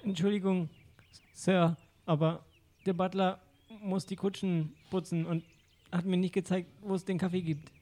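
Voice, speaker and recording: Meek male assistant, voiced by a man, apologetic, soft mellow tone, slightly raised pitch. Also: little pitch movement, normal speed, lightly acted.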